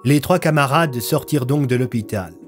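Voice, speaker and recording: Mid-pitched man narrating a story. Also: moderate pace, faint music behind.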